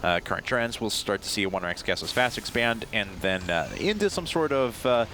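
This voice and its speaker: A man's voice: on the low side, lively intonation, fairly quick, background noise. A man commentating a video game match live, sounding stuffed up from a cold, chatty and joking with lots of fillers. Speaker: esports caster.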